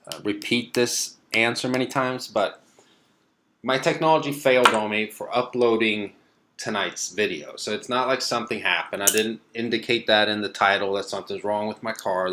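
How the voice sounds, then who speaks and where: A young man from Sweden with a lowish voice, chatting on a live stream. He reads out viewer comments and answers them in an easygoing, meandering way, stopping for plenty of ums and uhs. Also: slight background noise. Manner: relaxed and rambling, many fillers. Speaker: car vlogger hosting a live stream.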